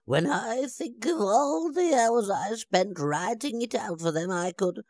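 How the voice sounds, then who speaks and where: A man's voice: sits high, expressive, a little slow, a little mellow, dry recording, voice pushed up. A fussy old wizard, put on by a man reading. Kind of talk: storybook character reading.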